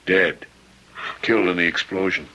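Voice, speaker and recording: Earnest chaplain in old radio drama, lowish voice, very forceful edge, grave delivery. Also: male voice, steady tone, moderate pace, highs cut off. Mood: somber. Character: earnest, compassionate male hospital chaplain.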